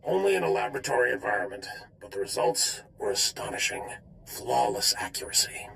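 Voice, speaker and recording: Very gravelly, formal official. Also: male voice, mid-range voice, big pitch swings, brisk, a bit bright, a little hiss or hum, exaggerated voice, poor audio. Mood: concerned, businesslike. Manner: formal briefing delivery. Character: worried, formal military project official. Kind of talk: scripted video game acting.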